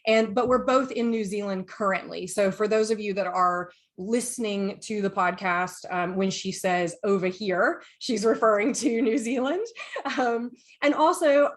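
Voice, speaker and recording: A woman's voice: medium pitch, normal speed. A woman from the United States hosting a podcast, chatting enthusiastically and sometimes reading from her notes. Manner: chatty, enthusiastic, lively asides. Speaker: podcast host.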